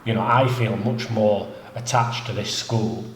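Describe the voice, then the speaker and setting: A lower-voiced man with a stuffy, nasal cold, talking in a loose, rambling way with plenty of fillers. He sits inside an echoey gym, and a faint hiss runs under his voice. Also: measured pace. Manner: relaxed, rambling, many fillers. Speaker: jiu-jitsu coach and academy co-owner. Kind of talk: casual chat. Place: inside a martial arts gym. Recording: echoey room with faint noise.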